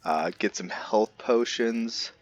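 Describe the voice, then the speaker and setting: A man's voice: medium pitch, slow. A man doing casual let's-play roleplay commentary, musing to himself a little hesitantly over game ambience. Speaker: gaming video host and player.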